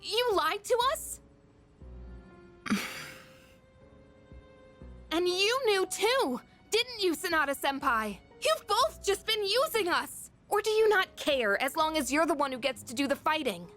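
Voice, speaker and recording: A woman playing an outspoken, suspicious high school girl in a video game, speaking in a pointed, emotional way. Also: big pitch swings, measured pace, long pauses, fairly bright tone, soft background music, heavily acted. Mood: angry, betrayed. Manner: pointed, questioning, indignant.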